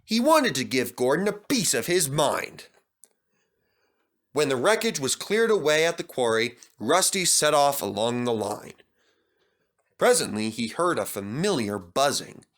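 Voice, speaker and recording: A man reading a children's storybook aloud as narrator. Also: medium pitch. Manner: expressive, measured.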